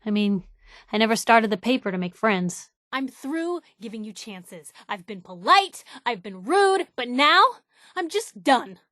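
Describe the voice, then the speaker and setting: An actress playing a feisty, wry young woman reporter in video game companion dialogue, conversational, slangy and expressive. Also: a little high, a little slow, dry recording, studio quality. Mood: wry, easygoing, then fed up. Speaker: voice actor for a game companion character.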